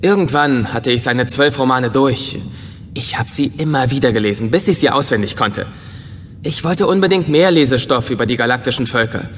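A man playing an eager, bookish, curious robot in a science fiction audio drama, excitable, earnest and polite. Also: mid-range voice, normal speed, slight background noise, close to an everyday voice. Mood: earnest enthusiasm. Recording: long reverberant hall sound.

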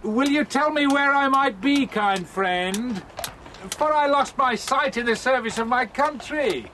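An actor playing a blind beggar pirate in a radio drama, slow, whining and falsely meek, with menace underneath. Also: male voice, very high voice, bright, forceful tone, slight background noise, heavily acted. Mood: wheedling, meek.

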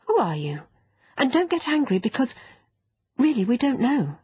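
A woman acts out polite young children from a storybook, raised high and very breathy. They sound earnest, curious and eager to please, with lively swings in pitch. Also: a little slow, dry recording, dull audio, full character voice. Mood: gently placating. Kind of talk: children's storybook character voices.

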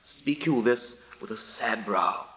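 An actor playing a witty, mocking bachelor lord who scorns love, in bantering, declamatory Shakespearean stage style. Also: male voice, lowish voice, expressive, slow pace, pushed and bright, echoey room, in a large hall, heavily acted. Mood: teasing. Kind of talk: theatrical Shakespearean stage acting.

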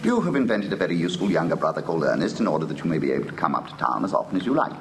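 An actor playing a flippant, witty young English gentleman of leisure, with upper-class accent and arch, precise diction in a classic stage comedy. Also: low-pitched, very animated, rapid-fire. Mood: gleeful, smug. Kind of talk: classic stage comedy audio drama, old-fashioned acting. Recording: old recording with faint hiss.

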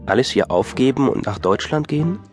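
A man's voice: medium pitch, animated, brisk. A man narrating an audio drama from a script in a dryly wry storytelling manner. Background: music.

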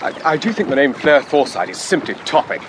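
An actor playing an eager, awkward upper-class English gentleman in his twenties, nervous and babbling, in a radio drama. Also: on the high side, sing-song, brisk, background noise. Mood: nervous, gushing. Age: 20s.

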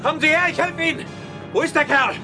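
An actor playing a gallant Scotland Yard inspector who hunts ghosts, in a German audio horror drama. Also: male voice, very high-pitched, quick pace, over music. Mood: urgent.

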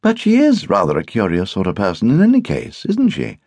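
A man voicing a brisk, opinionated English wife and hostess in an audiobook, with an arch, emphatic manner. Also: on the low side, huge pitch swings, a little mellow, no echo, voice pushed up, full character voice. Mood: incredulous.

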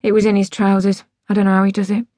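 A woman voicing a weary, resigned mother, speaking in a flat, tired, sighing way in an audiobook story. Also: medium pitch, quick pace, no echo, close to an everyday voice.

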